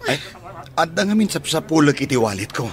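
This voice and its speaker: An actor with an Ilocano Filipino accent playing an eager, polite, smitten bachelor in a radio comedy drama. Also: male voice, on the high side, big pitch swings, brisk, a crowd in the background. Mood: apologetic, helpful.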